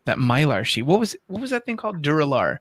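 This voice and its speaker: A man's voice: on the high side, big pitch swings, quick pace, fairly bright tone, no echo, studio quality. A man chatting casually and asking questions as a livestream co-host.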